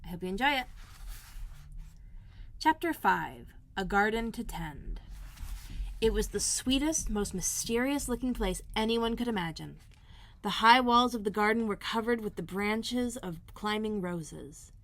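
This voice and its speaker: A woman's voice: mid-range voice, lively intonation, unhurried, fairly bright tone. Woman reading aloud a children's storybook. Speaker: elementary school librarian, host.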